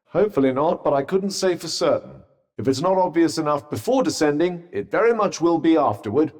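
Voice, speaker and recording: An actor voicing a sentient magical vambrace in a video game, a wry, haughty, cautious companion with a British accent and dry, sardonic, formal understatement. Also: male voice, on the high side, expressive, brisk, a little mellow. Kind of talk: video game character dialogue. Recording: long reverberant echo on the voice.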